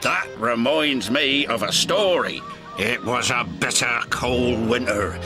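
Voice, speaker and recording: A man's voice: mid-range voice, slow pace, over music, lightly acted. Man playing a hearty rambling seafaring engine. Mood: yarn-spinning. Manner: nautical dialect, rambling yarn-spinning. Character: cheery, salty old sailor-like male dockside engine, rambling storyteller.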